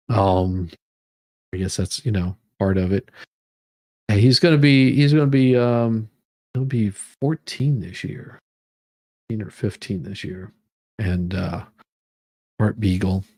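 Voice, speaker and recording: A man is hosting a casual livestream from a room at home. His voice sits at an average male pitch, with very little room sound. He rambles along haltingly, often repeating words as he chats to his viewers. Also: darkish tone, no room sound. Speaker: livestream host.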